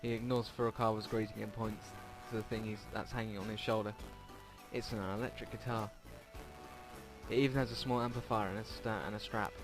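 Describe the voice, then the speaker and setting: A man's voice: lowish voice, level tone, a little fast, rough recording. Man reading a game aloud over music. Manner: informal, stumbles over words while reading. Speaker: let's player reading a visual novel aloud. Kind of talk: casual read-aloud game narration with asides. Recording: music behind the voice.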